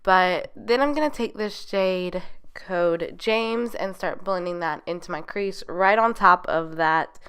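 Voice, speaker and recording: Chatty young woman, nasal, bright. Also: on the low side, slow pace, smooth. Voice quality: nasal from a cold. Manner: chatty, rambling, enthusiastic. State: getting over being sick. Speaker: beauty vlogger. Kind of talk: makeup tutorial voice-over.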